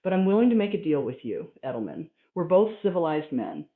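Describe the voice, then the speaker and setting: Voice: medium pitch, big pitch swings, brisk, lightly acted. A reader voicing a bumbling, boastful male prison inmate in a comic story, with folksy bombast. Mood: bargaining. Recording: echoey room, thin phone-like sound.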